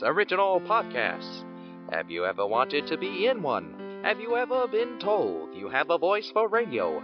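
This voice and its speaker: A man's voice: on the high side, highs cut off. A man announcing a sponsor message in an upbeat, promotional way. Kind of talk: sponsor ad read.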